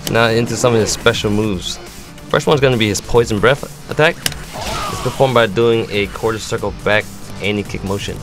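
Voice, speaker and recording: Low-voiced man explaining game moves. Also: normal speed. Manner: explanatory, step-by-step. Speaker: competitive gamer presenting a character demo. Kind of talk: game move tutorial commentary. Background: music.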